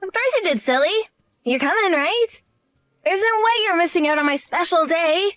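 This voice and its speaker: A bubbly, patronizing young bride-to-be, voiced by a woman, her high voice bouncing up and down as she chatters. The recording is dry and close, with no room around it. She sounds sweet and faintly talks down to the listener. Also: expressive, moderate pace, fairly bright tone, no echo, soft background music. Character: cheerful, condescending young bride-to-be sister. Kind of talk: voiced story drama.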